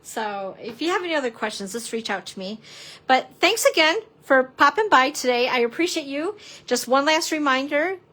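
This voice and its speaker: A woman teaching a live craft demonstration, chatty and prone to laughing at herself, a little hoarse as she's losing her voice. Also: high-pitched, animated, normal speed, bright, forceful tone, rough recording. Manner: chatty, giggly, self-deprecating, exclamatory. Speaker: papercrafting instructor.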